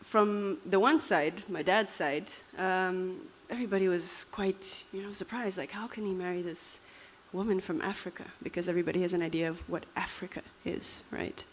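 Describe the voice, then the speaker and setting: A woman's voice: lowish voice, low-quality recording. A young woman from Namibia with a Dutch accent, giving a reflective, conversational personal talk with frequent fillers. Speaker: speaker.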